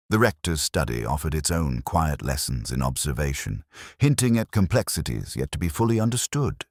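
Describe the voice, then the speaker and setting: A man reading a scripted, literary first-person detective narration in a measured, contemplative and formal manner. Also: a bass voice, no echo, studio quality.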